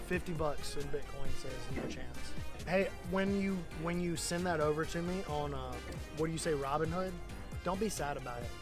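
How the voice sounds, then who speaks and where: A man with a somewhat high-pitched voice talks casually, like a vlogger and coach. His speech is informal and full of slang. Music runs clearly under his words. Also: moderate pace. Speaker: vlogger and jiu-jitsu coach. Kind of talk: casual vlog chat.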